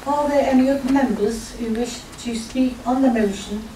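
A woman's voice: a little high, normal speed, warm tone. A woman formally chairing a council meeting as mayor in a large chamber. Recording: distant, echoey, noisy council chamber recording.

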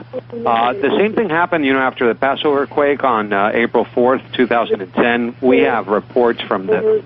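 Male phone-in guest, mid pitch, halting with lots of fillers, phone-quality audio. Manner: hesitant, many fillers. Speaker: radio panel guest. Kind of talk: talk radio discussion. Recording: tinny phone line with faint hiss.

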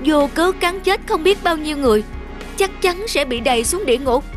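A woman voicing an aging venomous snake in an animated fairy tale, dramatic and aggrieved, trying to be good. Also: high voice, normal speed, pitched above the performer's own voice. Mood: anxious, humble. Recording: music under the voice.

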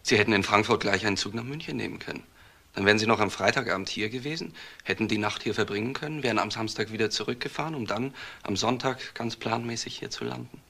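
An actor playing a precise, factual police investigator in a crime radio drama. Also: male voice, fairly low pitch, fast talker, gravelly, sharp tone. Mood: factual, pressing. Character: male junior police investigator, brisk and factual.

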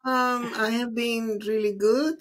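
A woman's voice: a little high, steady tone, slow pace. A middle-aged woman from Venezuela, a psychologist and mindfulness teacher, talking reflectively with frequent ums as a guest on a video call interview. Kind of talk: casual interview conversation.

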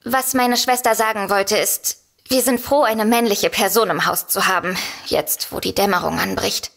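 A sensible, worried novelist in an audio drama. She explains things in an earnest, articulate way at a middling pitch. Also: normal speed, gravelly, fairly bright tone. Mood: serious. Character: composed, matter-of-fact woman novelist, worried but sensible.

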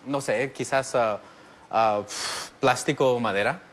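Guest, 30s male, relaxed and witty, lapel mic in a studio. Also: mid-range voice, measured pace, over a noisy crowd. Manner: easygoing, jokes back, describes objects step by step. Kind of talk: casual TV interview. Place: large TV studio set. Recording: faint studio noise.

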